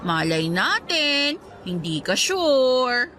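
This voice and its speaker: An actress with a Filipino accent playing a cheerful, teasing young woman living abroad, in lively radio drama dialogue. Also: high voice, very slow, soft background music, exaggerated voice. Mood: playful.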